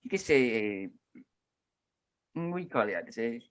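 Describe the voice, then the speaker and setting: A man's voice: medium pitch, sing-song, moderate pace, fairly bright tone. A man co-hosting a casual podcast, rambling and filling gaps with "you know.". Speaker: podcast co-host. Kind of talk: casual chat.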